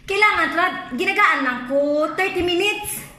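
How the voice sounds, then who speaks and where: A Filipino woman chatting casually in an excitable, giggly way during prank calls. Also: extremely high pitch, a little slow. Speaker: prank vlogger. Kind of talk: casual prank-call chat. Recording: echoey room with faint background noise.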